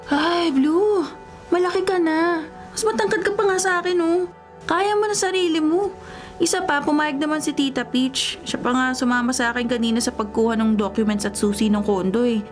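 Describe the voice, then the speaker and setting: A woman's voice: high voice, normal speed, soft background music, lightly acted. An actress playing an exasperated young professional woman, polite to elders, in a radio drama with a Filipino accent. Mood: exasperated, firm. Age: young adult. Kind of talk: Tagalog radio drama acting.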